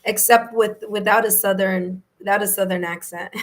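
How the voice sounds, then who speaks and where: A woman with a New York accent and a slightly hoarse, raspy voice, hosting a live tarot stream in a friendly, chatty way. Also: medium pitch, fairly even intonation, normal speed. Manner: friendly, chatty, laughs easily. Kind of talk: casual live-stream chat, greeting viewers.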